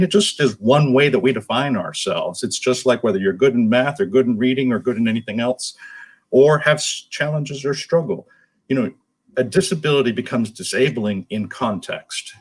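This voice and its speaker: A man's voice: medium pitch, expressive, normal speed, slightly rough. A man speaking as an interview guest, answering conversationally in an earnest, explanatory way. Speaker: interview guest, nonprofit executive.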